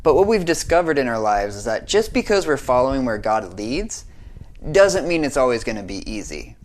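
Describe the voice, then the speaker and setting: A man's voice: medium pitch, big pitch swings, normal speed. A young American man giving a short devotional talk in an earnest, conversational way, often checking in with "right?". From United States.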